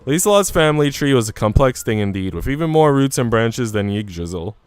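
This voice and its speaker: A man's voice: medium pitch, big pitch swings, brisk, clean tone, no room sound. A man reading visual novel narration aloud as a storyteller. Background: soft music.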